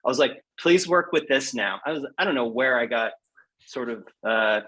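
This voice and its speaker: A man's voice: mid-range voice, moderate pace, slightly rough, poor audio. Candid, self-deprecating man in conversation. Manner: self-deprecating, animated retelling with quoted asides. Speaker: guest poet. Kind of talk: candid conversational interview answers.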